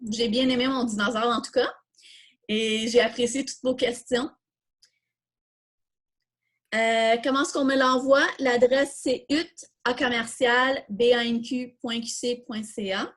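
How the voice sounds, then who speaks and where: A woman's voice: on the high side, steady tone, unhurried. A woman with a Quebec French accent hosting a live online coding workshop, informally thinking aloud and answering questions as she demonstrates. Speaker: workshop host.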